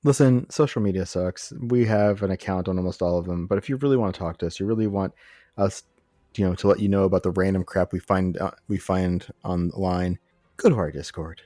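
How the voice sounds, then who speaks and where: A man's voice: deep voice, fairly quick, warm tone, no room sound, studio quality. A man co-hosting a podcast, chatting casually about movies in a rambling, sardonic way. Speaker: podcast co-host.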